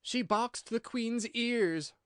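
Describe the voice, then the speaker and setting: A timid storybook rabbit, voiced by a man, speaks in a raised, anxious voice. The delivery is hushed, hurried and nervous, close and dry. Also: very high-pitched, clear, resonant tone, dry recording, voice pushed up, full character voice.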